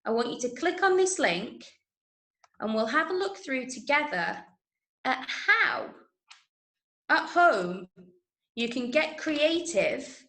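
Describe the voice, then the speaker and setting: High-voiced English woman teaching, roomy sound. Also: a bit bright. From Manchester, England. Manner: encouraging, frequent checking "yeah?" and "okay?". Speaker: workshop teacher of radio drama. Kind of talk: online lesson to schoolchildren. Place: indoor room, online video call. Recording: roomy, echoing online-call sound.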